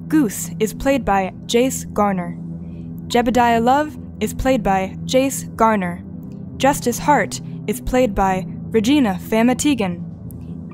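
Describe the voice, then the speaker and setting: A woman playing a formal, composed guide who reads scripted announcements and credits in an audio drama. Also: fairly high pitch. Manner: formal, measured.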